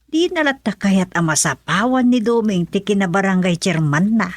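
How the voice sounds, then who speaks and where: A woman's voice: mid-range voice, lively intonation, moderate pace, close to an everyday voice. A woman playing a teasing, contented village wife with an Ilocano accent in a radio comedy drama. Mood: musing.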